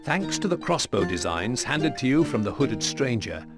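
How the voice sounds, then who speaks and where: Male game narrator, mid-range, measured and formal storytelling, set over strong musical backing. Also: medium pitch, big pitch swings. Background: loud plucked-string music.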